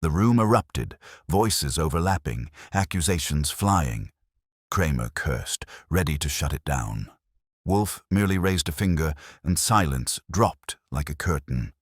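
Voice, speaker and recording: A very low, clean-voiced man narrates a detective mystery as an audiobook. His delivery is dramatic, with lively swings in pitch, and the sound is dry and close. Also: a little slow, no room sound.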